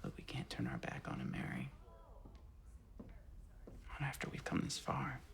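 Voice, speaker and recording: A man's voice: low voice, wildly swooping pitch, moderate pace, vocal fry, exaggerated voice. An actor playing a reassuring, persuasive male colleague in a period drama, speaking in a coaxing way. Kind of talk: television period drama acting.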